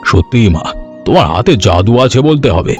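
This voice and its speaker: A man's voice: medium pitch, animated, a little fast, soft background music, natural voice. A man voicing a bossy, protective village uncle in a cartoon story, with an emphatic, commanding manner. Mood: pleased, generous. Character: bossy village uncle, protective, blunt with wrongdoers, generous to kin.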